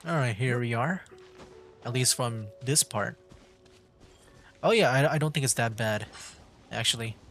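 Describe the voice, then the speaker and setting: A man's voice: medium pitch, unhurried, pauses often, a bit bright. Laid-back male game streamer, music behind. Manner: laid-back, rambling, thinking aloud. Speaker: livestreamer playing a video game. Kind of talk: casual gameplay commentary.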